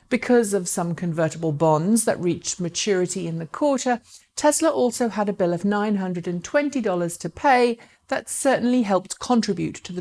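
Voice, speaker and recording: A woman's voice: mid-range voice, darkish tone. A woman presenting electric car news as scripted narration, speaking articulately and directly to viewers.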